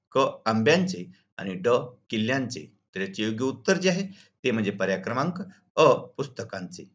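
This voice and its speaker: Dull lo-fi recording of an animated male teacher. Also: medium pitch. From Maharashtra, India. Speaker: school teacher and video channel host. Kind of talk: instructional exam walkthrough, reading questions and answers.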